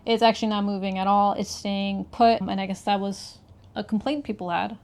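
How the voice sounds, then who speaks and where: A woman's voice: mid-range voice, fairly even intonation, a little fast, a little mellow. A woman talking informally as she co-hosts and demonstrates in a casual gadget review.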